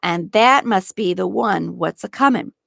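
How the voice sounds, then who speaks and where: A woman voicing a kindly, loyal old male gardener in a storybook, speaking in a gentle, deliberate rustic dialect. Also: medium pitch, vocal fry, no echo, studio quality, heavily acted. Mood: gentle reminiscing. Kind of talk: storybook read-aloud.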